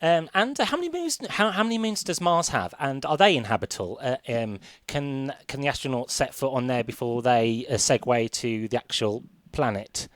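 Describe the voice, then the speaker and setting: A man interviews as a radio presenter, putting his questions haltingly. His mid-pitched voice moves up and down in a very animated way, and the recording is dry and close. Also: no room sound. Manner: hesitant, halting phrasing.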